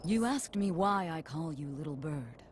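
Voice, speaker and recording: A woman's voice: low-pitched, expressive, moderate pace, background noise. A woman playing a proud, fierce warrior chief who is fond and protective, speaking in a commanding, stately way in a game cutscene. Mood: fond, solemn. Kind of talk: dramatic game cutscene acting. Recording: music behind the voice.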